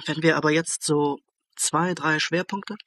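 An actor playing an anxious young police student in a German crime radio play, with a husky voice. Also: male voice, on the high side, steady tone, measured pace, fairly bright tone. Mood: uneasy, eager. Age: young adult.

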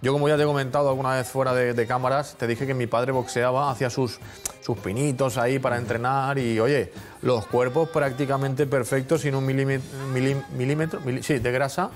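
A man's voice: medium pitch, fairly even intonation, fairly quick, soft background music. A man in his forties hosting a TV magazine show in a studio, chatting and teasing his guest playfully. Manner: jokey, teasing, talkative. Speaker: TV magazine host. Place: TV studio set with sofas. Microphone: lapel mic.

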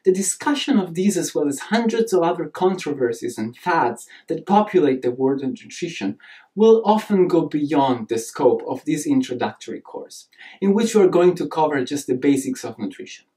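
A man in his thirties speaks with a clear Italian accent, delivering a prepared course introduction to camera. His tone is mellow and warm, and he stresses key words with earnest emphasis. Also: fairly high pitch, moderate pace. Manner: earnest, animated hand gestures, emphatic delivery. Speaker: nutrition course instructor. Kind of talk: scripted lecture to camera.

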